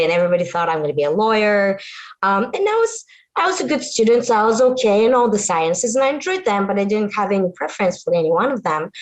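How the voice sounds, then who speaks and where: An earnest woman with a Bulgarian accent tells her own story as a guest physics lecturer. She is animated and often restarts her sentences. Her voice sits in a middle pitch and comes through a video call. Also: rough recording.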